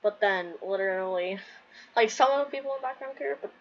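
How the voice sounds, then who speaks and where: A woman's voice: mid-range voice, quick pace, highs cut off. A young woman giving casual, rambling, giggly commentary while playing a video game. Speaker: gamer streaming a playthrough.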